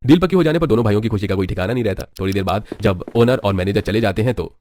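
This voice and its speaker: A man's voice: fairly low pitch, rapid-fire, a little mellow. A man narrating a scripted bedtime story as a storyteller. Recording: music behind the voice. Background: background music.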